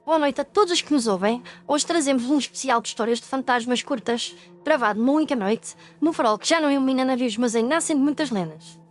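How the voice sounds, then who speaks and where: A woman's voice: high voice, fast, few pauses, natural voice. A woman playing a determined, curious young ghost-story podcaster, earnest and articulate, with a European Portuguese accent. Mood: presenting. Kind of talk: audio ghost story acting.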